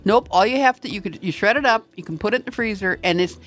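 A woman's voice: mid-range voice, expressive, fast talker, a bit bright. An older woman, a retired master gardener, chatting on the radio and giving advice with many restarts and emphatic asides. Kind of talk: casual radio chat.

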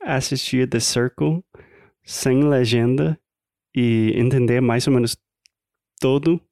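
A man's voice: mid-range voice, darkish tone, dry recording, clean studio sound. A man co-hosting a casual language podcast, speaking careful, slightly hesitant Portuguese as a non-native speaker. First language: not Portuguese. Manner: slow, careful learner's Portuguese. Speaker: podcast co-host.

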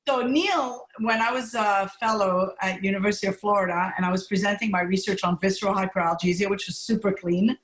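A woman's voice: medium pitch, steady tone, a little fast, hardly any pauses. Woman, middle-aged, gastroenterologist, from Montreal, candid, laughing, roomy echo. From Montreal, Canada. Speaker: gastroenterologist guest. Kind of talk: conversational podcast interview.

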